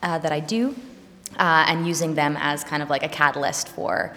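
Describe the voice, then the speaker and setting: Hesitant low-voiced woman, reverberant room. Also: fairly even intonation, moderate pace, bright, forceful tone. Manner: hesitant, many fillers. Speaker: choreographer. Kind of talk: interview.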